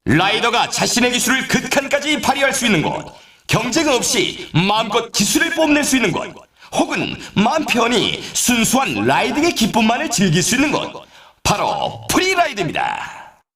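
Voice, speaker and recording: An actor playing an excitable, theatrical male announcer at an extreme snowboarding event in a video game, delivering scripted hype with showy exclamations. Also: extremely high pitch, normal speed, reverberant, full character voice. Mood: hyped, grand.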